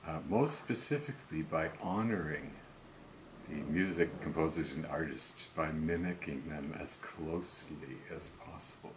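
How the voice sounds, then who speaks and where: An older man talking slowly and self-assuredly to camera about recording cover songs, rambling and musing as he goes. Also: a bass voice, noisy background. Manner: slow, musing, self-assured, breaks into song. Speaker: home singer recording covers. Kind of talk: rambling solo monologue to camera.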